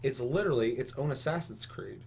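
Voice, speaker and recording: A man's voice: mid-range voice, brisk, vocal fry. Vehement man ranting casually, faint background hum. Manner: vehement, heavy swearing. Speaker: podcast co-host. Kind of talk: casual opinionated discussion. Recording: audible steady hum and noise.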